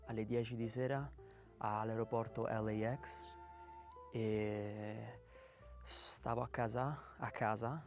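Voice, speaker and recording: A man's voice: on the low side, steady tone, measured pace, over music. A man from Italy chatting casually and joking as he tells a short story. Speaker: language speaker in a guessing video.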